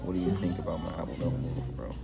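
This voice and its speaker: A man with a low voice chats casually to his viewers and friends. He is slangy and joking, swearing freely, with loud music playing behind him. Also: flat delivery, fast talker, vocal fry. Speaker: vlogger. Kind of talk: casual chat to camera and friends.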